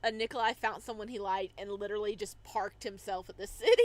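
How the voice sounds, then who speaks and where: A woman's voice: medium pitch, steady tone, fairly quick, a little mellow, low-quality recording. A woman reading a visual novel aloud, narrating and adding giggly, chatty asides.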